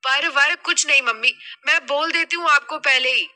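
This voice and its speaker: A vain, spoiled young woman acted by a female narrator from an audio drama. The voice is high and piercingly bright, whining with dramatic indignation. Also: moderate pace. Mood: indignant.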